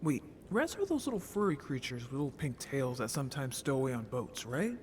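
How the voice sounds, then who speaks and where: A man's voice: medium pitch, very animated, a little fast, slight background noise, lightly acted. A man voicing a naive, curious young merfolk in an audio drama, talking casually and expressively with earnest cheek. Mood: hopeful, earnest. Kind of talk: scripted audio drama roleplay.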